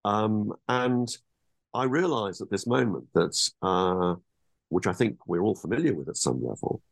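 A middle-aged man with a low, gravelly, slightly husky voice talks through ideas in a thoughtful, halting way, often stopping to restart a phrase. The sound is dry and close, with no room around it. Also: expressive, dry recording. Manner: hesitant, many restarts and fillers, reflective. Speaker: author guest. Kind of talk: academic interview over a call.

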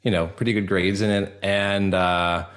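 Male podcast guest with a low voice, casual and informal, feeling his way through a personal story. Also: level tone, normal speed, a bit bright.

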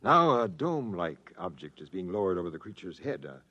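An actor playing a cool, confident alien commander in a 1950s radio drama, authoritative and deliberate. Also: male voice, deep voice, sing-song, pushed and bright, lo-fi sound, heavily acted. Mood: curious, calm.

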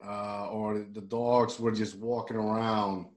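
A man's voice: lowish voice, little pitch movement, slow pace, darkish tone. A man from Puerto Rico hosting a podcast, talking in a loose, rambling way with many restarts and fillers. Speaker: podcast host. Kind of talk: casual interview chat.

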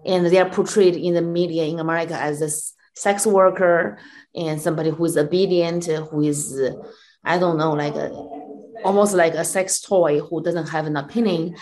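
A woman with a Chinese accent talks candidly from her office over a video call. She is blunt and swears freely, with plenty of fillers. Her voice sits on the low side. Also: fairly even intonation, normal speed, darkish tone, low-quality recording. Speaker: comedian and actress, interview guest. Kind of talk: casual interview chat. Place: her office at a comedy club.

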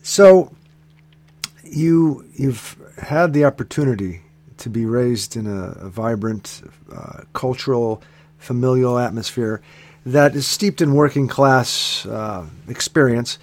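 A man hosting a radio interview, asking long measured questions with many fillers and restarts. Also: mid-range voice, darkish tone. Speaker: radio host.

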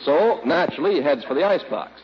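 A man's voice: on the high side, lively intonation, fast, noisy background. An American man announcing in a polished, salesmanlike 1940s radio style with scripted delivery. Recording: muffled old radio recording.

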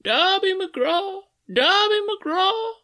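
A man voicing a high, thin, trembling ghostly pirate voice that sings and wails slowly from far off. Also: expressive, sharp tone, heavily acted. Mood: wailing. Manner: slow drawn-out singing and wailing.

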